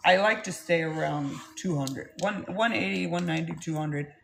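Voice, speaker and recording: Blunt woman, gravelly voice. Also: low-pitched, moderate pace, slight background noise. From Texas, US. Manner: blunt, swears freely, rambling. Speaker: vlogger. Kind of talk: candid storytelling to camera.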